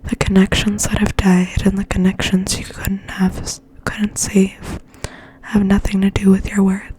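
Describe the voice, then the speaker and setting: A woman whispering in a gentle, breathy, slow ASMR style, as if reading poetry aloud and sharing quiet thoughts. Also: medium pitch, rough recording. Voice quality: breathy, mostly unvoiced whisper. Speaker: ASMR host and poetry reader.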